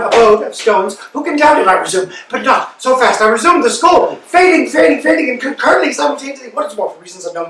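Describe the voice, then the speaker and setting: A man's voice: extremely high pitch, pushed and bright, full character voice. A man playing an old, exhausted male servant who rants in a breathless, rambling, repetitive monologue during a staged play reading. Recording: echoey room, distant mic.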